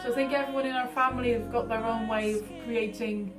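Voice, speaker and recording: A woman's voice: a little high, fairly even intonation, quick pace. A woman of about thirty with a Dutch accent, talking informally and a little hesitantly about her own life and work as an artist. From Netherlands. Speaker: artist being profiled. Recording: roomy echo, distant mic, music behind.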